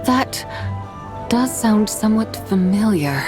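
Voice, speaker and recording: A woman playing a calm, enigmatic and wistful wanderer in a video game, speaking in a measured, pensive way. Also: mid-range voice, music in the background. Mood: puzzled. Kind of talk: English video game voice acting.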